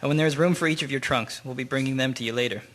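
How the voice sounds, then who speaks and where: A man's voice: medium pitch, rapid-fire, fairly bright tone, slight background noise, natural voice. An actor playing a helpful, matter-of-fact army man in a wartime radio drama.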